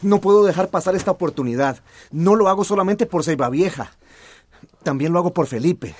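An actor playing a determined, impulsive young activist and devoted son in a radio drama, hurried and earnest. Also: male voice, sits high, expressive, slight background noise.

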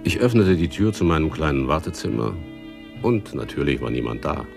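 An actor playing a world-weary, wry private detective, narrating and acting in a hard-boiled radio drama with laconic, dry delivery. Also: male voice, rumbling low, big pitch swings, a little fast, gravelly, faint music behind, full character voice. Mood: matter-of-fact, reflective. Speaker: narrator and lead character.

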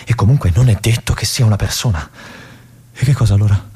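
An actor playing a brooding male army lieutenant in a theatrical monologue, with an intense, hushed delivery and phrases that drop to a whisper. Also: fairly low pitch, quick pace, breathy edge. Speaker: stage actor.

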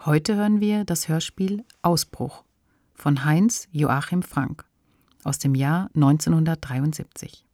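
A woman's voice: low-pitched, takes it slowly, warm tone, dry recording, clean studio sound. A woman hosting a podcast, giving a scripted introduction. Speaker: podcast host.

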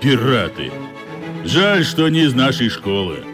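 An actor in a radio play voicing a gruff but kindly older school shop teacher who teases and grumbles good-naturedly. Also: male voice, medium pitch, big pitch swings, unhurried, music in the background. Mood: gruff teasing. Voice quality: fierce, gruff voice.

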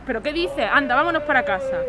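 A woman's voice: high-pitched, expressive, fast talker, very noisy. A woman playing an exasperated, worried wife in a radio drama, insistent and reproachful. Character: exasperated, worried wife, wary of others' troubles.

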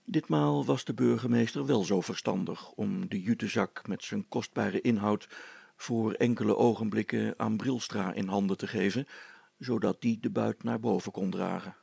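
A man's voice: lowish voice, fairly even intonation, normal speed, vocal fry, mellow, husky, dry recording. A man narrating a storybook as a scripted audiobook reading.

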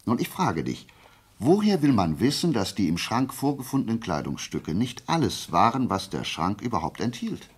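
A man acting in a radio play as a shrewd detective, explaining his deductions deliberately and didactically in a low, gravelly, husky voice. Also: very animated. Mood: probing, insistent. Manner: deliberate, didactic, checking in with short questions. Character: shrewd amateur detective methodically explaining a murder case.

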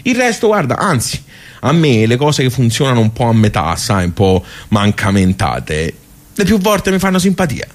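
An actor playing a nostalgic, grumbling old social-club regular in a radio soliloquy, with a Tuscan accent and a colloquial, halting, rambling delivery full of rhetorical asides. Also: male voice, medium pitch, sing-song, close to an everyday voice. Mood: animated grumbling. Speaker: stage actor. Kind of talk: theatrical radio soliloquy, conversational.

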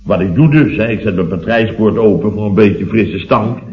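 A man's voice: fairly low pitch, moderate pace, lightly acted. An actor playing an exasperated building contractor boss in his fifties in a vintage radio comedy, telling his woes with indignation. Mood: weary grumbling. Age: 50s. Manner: indignant, long-suffering storyteller. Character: blustering, exasperated fifty-year-old building contractor boss. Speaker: comic lead in a radio serial. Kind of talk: 1970s Dutch radio comedy acting. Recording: dull, roomy vintage radio recording.

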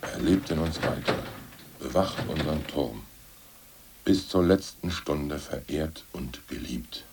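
An actor playing a brooding, ousted aging party official in a radio drama, who recites poems in a slow, solemn drone. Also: male voice, very deep voice, noisy background, exaggerated voice. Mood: solemn reciting. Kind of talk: 1980s radio drama acting.

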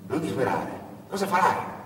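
A man playing a tall, thin, friendly street stranger in a stage monologue, asking probing questions in a low-key, coaxing way. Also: big pitch swings, moderate pace, fairly bright tone. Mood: reassuring, curious. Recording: echoey hall, distant mic, faint noise.

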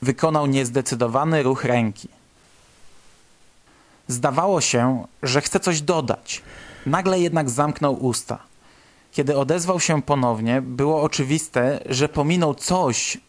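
A man's voice: mid-range voice, a little slow. A man reading scripted literary narration for a radio drama.

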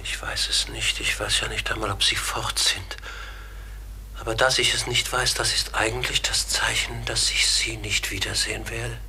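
An actor playing a melancholy, pensive man in a radio play, speaking haltingly and often sinking to a near-whisper. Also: on the low side, flat delivery, creaky, bright, forceful tone, full character voice. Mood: sad, resigned. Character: melancholy brooding man who believes he was a raven. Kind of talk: German radio play acting.

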